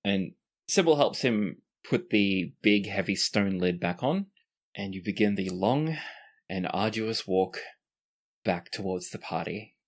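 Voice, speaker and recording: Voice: low voice, lively intonation, a little slow. A game master narrating a tabletop roleplaying game in a conversational way.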